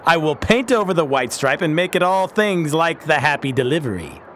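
A man's voice: a little high, big pitch swings, normal speed, fairly bright tone, a little hiss or hum. A man playing an earnest, pious reformed pirate captain in a radio drama, speaking bluntly in formal old-fashioned language. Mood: determined.

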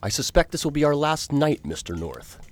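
A man playing a formal, courteous Victorian gentleman who narrates his own adventures in a radio drama. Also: on the high side, big pitch swings. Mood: composed. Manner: formal, courteous, measured old-fashioned English. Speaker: narrator and lead character.

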